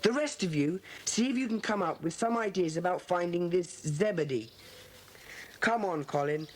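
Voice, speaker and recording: Woman doing a bossy young schoolboy leader, earnest and brisk, kids audio play, bit of tape hiss. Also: lowish voice, expressive, a little mellow, natural voice. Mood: earnest, decisive. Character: Peter, bossy schoolboy leader of a children's club. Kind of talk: children's audiobook dramatisation. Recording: hissy old cassette dramatisation.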